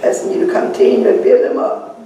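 A woman leading a fervent spoken prayer, saying hallelujah between phrases and sometimes rising to shouts. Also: fairly quick, a little hiss or hum. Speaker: prayer leader. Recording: very echoey room, distant mic, faint ambience.

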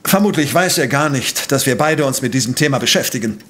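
An actor playing a rational professor detective in an audio drama, speaking precisely and emphatically in a dismissive, pedantic way. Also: male voice, medium pitch, lively intonation, a little fast, fairly bright tone, close to an everyday voice. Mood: businesslike, commanding. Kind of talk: German audio drama acting.

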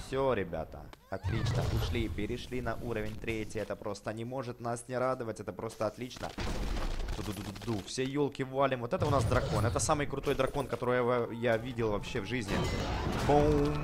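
A man hosting a casual, chatty let's play for children, excitable, full of exclamations, repeated words and affectionate diminutives. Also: mid-range voice, heavy background noise. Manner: uses many affectionate diminutives. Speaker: gaming YouTuber host.

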